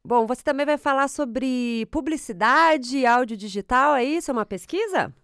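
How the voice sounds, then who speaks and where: A woman with a Brazilian Portuguese accent hosts a podcast in a fairly high, smooth and clear voice. Her lines are short conversational prompts and sign-offs, recorded dry and close. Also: moderate pace, fairly bright tone, dry recording. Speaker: podcast host. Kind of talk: podcast hosting.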